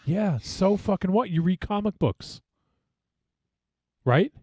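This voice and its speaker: A man's voice: high-pitched, animated, takes it slowly, a little mellow, breathy edge, no room sound, studio quality. A man co-hosting a podcast, teasing his friends in casual chat. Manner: teasing, needling. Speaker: podcast co-host.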